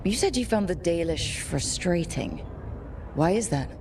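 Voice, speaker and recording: Game lead: level-headed heroine, notably low pitch, dry and direct, audible ambient noise behind. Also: female voice, animated, a little slow. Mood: curious, frank. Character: level-headed, frank heroine of a fantasy adventure. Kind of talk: video game dialogue.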